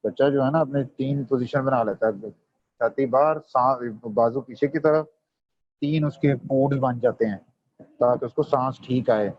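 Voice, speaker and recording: A man leads a medical revision class. He fires questions at his students and slips between Hindi and English terms. His voice sits at an ordinary pitch and comes through a dry, muffled, lo-fi microphone. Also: measured pace, dry recording. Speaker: medical lecturer. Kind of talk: online revision lecture. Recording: dull dry lo-fi audio.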